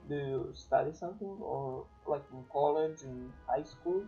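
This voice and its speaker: Slow, hesitant man. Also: mid-range voice, dark tone, highs cut off, soft background music. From Serbia. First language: Serbian. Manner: slow, hesitant, thinking aloud. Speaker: streamer. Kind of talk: casual stream chat.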